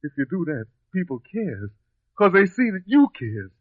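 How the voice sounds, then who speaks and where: A grateful young country fellow in a 1950s radio play. His higher voice swings with feeling as he stumbles earnestly through folksy, ungrammatical phrases, on a thin, phone-like recording. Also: big pitch swings, moderate pace, no room sound. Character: humble, grateful young country man just freed. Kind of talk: 1950s radio drama acting.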